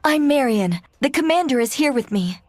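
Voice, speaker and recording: Loyal young android gunner girl from a game, earnest, breathy husky voice, dry. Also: high voice, lively intonation, normal speed, dry recording. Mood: friendly. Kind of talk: English video game voice acting.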